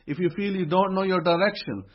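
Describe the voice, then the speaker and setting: A man's voice: high voice, brisk. A man giving a motivational religious talk, emphatic and repeating phrases as he builds his point. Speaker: religious teacher. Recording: dull lo-fi recording.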